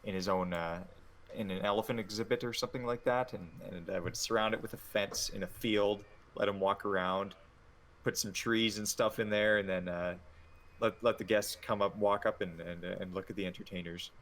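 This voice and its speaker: Low male voice, casual game chat. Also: fairly even intonation, moderate pace, fairly bright tone. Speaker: gaming show co-host. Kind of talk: casual reminiscing over gameplay. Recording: faint noisy room ambience.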